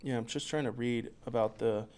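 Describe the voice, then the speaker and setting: Guy on a podcast reading off notes, trips over names, mid pitched voice. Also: fairly even intonation, darkish tone. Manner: stumbles over place names, halting reader. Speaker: podcast co-host. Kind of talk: casual podcast chat, reading news notes aloud.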